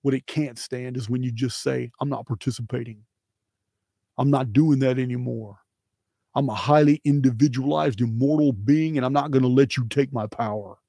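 Emphatic man, mellow close-miked voice. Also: mid-range voice, moderate pace, creaky, husky, no room sound. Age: middle-aged. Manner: emphatic, preachy, repeats phrases for emphasis. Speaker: channel host. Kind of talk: impassioned monologue to viewers. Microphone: close studio microphone on boom arm.

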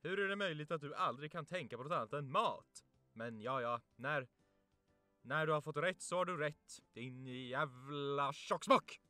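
Man playing a bright, brash young cartoon hero. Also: fairly high pitch, no room sound, faint music behind, pitched below the performer's own voice. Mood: exasperated. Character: brash young Greek hero, bickering and cocky. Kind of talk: amateur cartoon fan dub.

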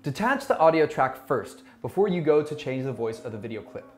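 A man in his thirties giving a scripted software tutorial in an upbeat presenter's manner, speaking into a lapel mic in a small office. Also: mid-range voice, very animated, fairly quick. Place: small bright office, indoors. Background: background music.

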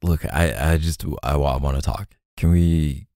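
A young man playing an easygoing, tender boyfriend in an intimate roleplay audio, speaking softly and hesitantly in casual slang. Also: extremely low pitch, steady tone, normal speed, no echo, clean studio sound. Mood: gentle, anxious.

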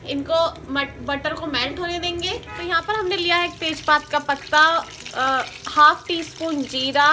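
A woman hosting a cooking channel, talking through a recipe one step at a time in a steady, teaching manner and repeating each step. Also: sits high, a little slow, few pauses, background noise. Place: home kitchen. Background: sizzling and stirring in a pan.

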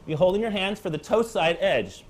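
A man in his thirties coaching beginners through snowboard moves in short, calm cues. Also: high-pitched, moderate pace. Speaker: snowboard instructor. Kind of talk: step-by-step instructional demonstration. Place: indoor training slope under a roof.

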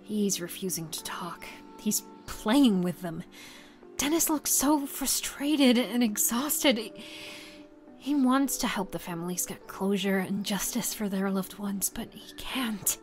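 A woman's voice: mid-range voice, a little slow, lightly acted. A woman acting an earnest, persuasive friend, music underneath. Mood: grave, careful. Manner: earnest, careful, pleading. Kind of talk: audio drama acting.

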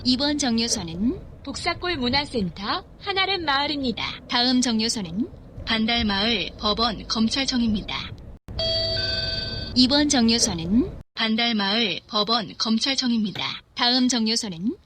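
A woman reading scripted transit announcements as a bus stop announcer, in a formal, measured way. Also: on the high side, expressive. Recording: noisy recording inside a moving bus. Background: vehicle noise.

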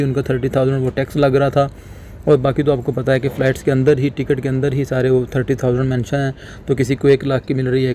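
A young man from Pakistan narrating a casual travel vlog at night outside an airport, talking calmly to viewers through a phone held at arm's length. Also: medium pitch, slight background noise. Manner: calm, explanatory, talks to viewers directly. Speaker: travel vlogger. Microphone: phone camera held at arm's length.

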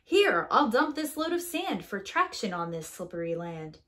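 Woman playing an eager, lively little truck girl. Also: mid-range voice, normal speed. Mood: determined, urgent. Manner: earnest, helpful, exclamatory. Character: eager, plucky young female skid steer truck.

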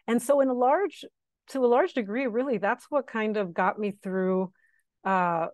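A woman in her thirties with a Miami Cuban accent, a guest historian talking on a video call through earbuds, chatty and quick to laugh. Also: mid-range voice, a little mellow, dry recording, studio quality. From Miami, Cuban-American. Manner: chatty, laughs easily, restarts phrases. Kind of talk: academic seminar talk. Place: home room. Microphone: wired earbud mic on video call.

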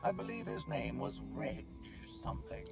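An actor voicing a cold, threatening male enforcer in a video game, deliberate and menacing. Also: medium pitch, animated, gravelly, over music.

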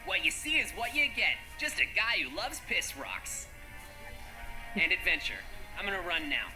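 A woman's voice: on the low side, sing-song, normal speed, music in the background. A woman voicing a cocky, goofy young male hedgehog hero in a comedic improvised game fandub. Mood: goofy, breezy.

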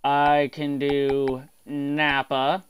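A man's voice: on the high side, completely flat delivery, very slow. A man talking casually on a livestream as an amateur voice actor, with faint noise and clicks behind him.

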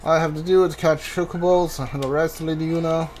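A man giving casual video game commentary, thinking aloud haltingly and repeating his words as he plays. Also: a little high, little pitch movement, a little mellow. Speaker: gamer, playthrough host. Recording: game music behind the voice. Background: video game music.

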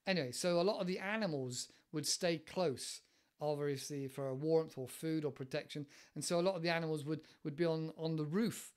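Brief: English man in his forties, casual podcast teacher, rambling delivery, a touch high-pitched, home mic. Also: moderate pace. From England. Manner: relaxed, rambling, many fillers and restarts. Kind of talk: casual explanatory monologue. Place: living room at home. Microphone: headset with desk mic, webcam.

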